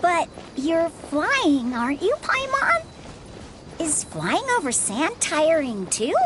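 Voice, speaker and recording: Anxious little kid, super high, talks slow, pleading. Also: female voice, wildly swooping pitch, heavy background noise, full character voice. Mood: worried. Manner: pleading, worried. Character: young desert girl searching for her grandfather, anxious.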